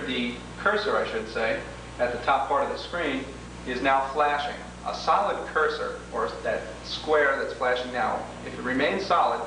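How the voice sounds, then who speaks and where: A man of average pitch narrates a homemade 1980s training video. He explains procedures methodically and formally, one step at a time. The sound is very reverberant and distant, with clear background noise. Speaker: product demonstrator and instructor. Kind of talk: instructional training-tape walkthrough.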